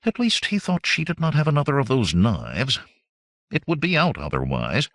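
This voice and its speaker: A man reading adventure fiction aloud as an audiobook narrator, in measured, expressive storytelling. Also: mid-range voice, no room sound, clean studio sound.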